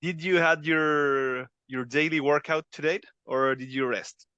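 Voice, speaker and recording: Bright-voiced host on dull dry audio. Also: male voice, a little high, fairly even intonation, a little slow, clear, resonant tone, dry recording. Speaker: podcast host. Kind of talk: interview questions. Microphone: video call.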